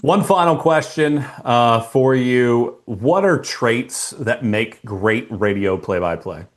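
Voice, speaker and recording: A man's voice: medium pitch, takes it slowly. A man hosting an interview who asks questions in a friendly, conversational way. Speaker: interview host.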